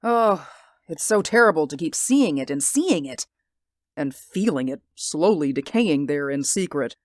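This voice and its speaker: A woman's voice: low voice, animated, dry recording, studio quality. A woman doing a jittery, sleepless man. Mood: anxious. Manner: halting, stammering, pleading. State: sleep-deprived, anxious. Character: nervous sleepless man, Mister Ames, haunted by a sight. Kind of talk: hobby radio drama acting.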